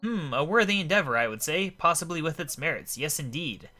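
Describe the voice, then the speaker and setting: Pompous old gent, animated. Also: male voice, a little high, brisk, soft background music, pitched above the performer's own voice. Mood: jolly, blustering. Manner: blustering, exclamatory, old-fashioned genteel speech. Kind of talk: voicing video game dialogue.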